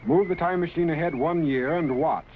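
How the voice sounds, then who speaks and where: An actor playing a solemn, commanding time-travelling scientist, narrating dramatically in a grave, declamatory way. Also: male voice, a little high, normal speed, a little hiss or hum, close to an everyday voice. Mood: grave, portentous. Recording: tinny vintage broadcast, loud music behind.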